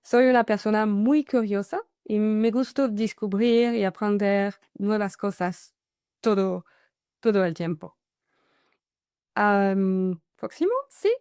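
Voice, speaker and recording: A woman with a French accent gives a masterclass in Spanish over a video call, which is not her first language. Her delivery is slow and halting, often filled with "so" and "am". Her voice is mellow and the sound is dry and close. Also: mid-range voice, fairly even intonation, clear, resonant tone, no room sound. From Paris, France. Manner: hesitant, with many "am" and "so" fillers. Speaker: guest speaker, consultant. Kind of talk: online masterclass presentation.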